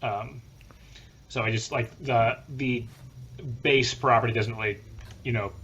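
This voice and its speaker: A man's voice: medium pitch, steady tone, measured pace, bright, forceful tone, slightly rough. A man hosting a podcast in casual conversation, on a slightly muffled recording. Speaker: podcast host. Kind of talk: casual chat.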